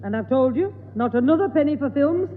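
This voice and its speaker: A woman's voice: high-pitched, animated, brisk. A woman playing a stern, exasperated mother in a 1960s radio sitcom, scolding and emphatic. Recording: tinny, echoey, noisy old radio broadcast.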